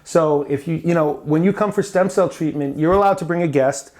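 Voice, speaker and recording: A man's voice: on the high side, brisk, a little mellow. Chatty American man in his forties. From United States. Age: 40s. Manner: chatty, friendly, digresses to the viewers. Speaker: patient interviewer. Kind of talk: casual interview.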